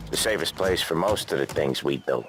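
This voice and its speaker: An actor playing a cocky, needling veteran mobster in a video game cutscene. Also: male voice, extremely low pitch, lively intonation, fairly quick, music in the background. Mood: matter-of-fact. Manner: taunting, tough-guy swagger. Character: cocky, needling veteran mob enforcer, loud and mocking.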